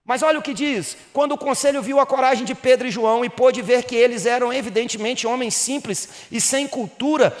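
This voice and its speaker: A Brazilian pastor from Minas Gerais with a Mineiro accent, preaching a sermon that rises to emphatic bursts and drops low again. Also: male voice, big-room sound. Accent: Mineiro Brazilian Portuguese. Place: church hall.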